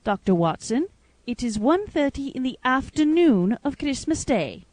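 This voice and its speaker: A woman plays a motherly, deferential housekeeper in a Victorian audio drama. Her voice is high and very lively in pitch, with a fussy singsong delivery. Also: moderate pace, no room sound. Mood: eager, fussing.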